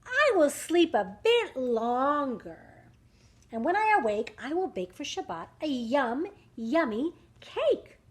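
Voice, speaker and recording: A woman's voice: high-pitched, very animated, takes it slowly, exaggerated voice. A woman voicing an eager, scatterbrained male cricket in a rhyming storybook, exclaiming politely and getting flustered. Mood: drowsy.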